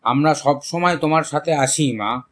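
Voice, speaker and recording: Cartoon village landlord, played by a man: older, supportive father-in-law, emphatic, pitched a little high. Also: unhurried, fairly bright tone. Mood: warm, approving. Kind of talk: animated story dialogue.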